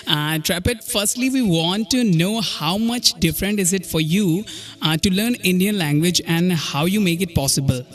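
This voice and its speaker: A radio host interviewing a guest in halting, simple English, often just acknowledging with short replies. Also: medium pitch. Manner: halting, many short acknowledgements, non-native phrasing.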